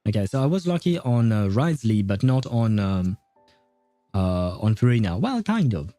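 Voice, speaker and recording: A man's voice: lowish voice, big pitch swings, moderate pace, mellow, dry recording, faint music behind. A man livestreaming a video game, chatting to viewers in an informal, wry way. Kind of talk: casual live gaming commentary, chatting with viewers.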